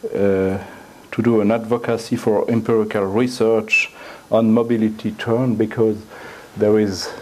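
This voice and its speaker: A middle-aged man with a French accent, giving an academic lecture at a lectern in a university room, often halting and repeating words as he looks for the phrasing. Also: fairly low pitch, level tone. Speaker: guest lecturer.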